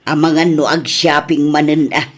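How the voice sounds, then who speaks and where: A woman playing a spendthrift, sharp-tongued wife in an Ilocano comedy radio drama, with an Ilocano accent and a teasing, bickering way of talking. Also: sits low, steady tone, moderate pace. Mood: casual, teasing.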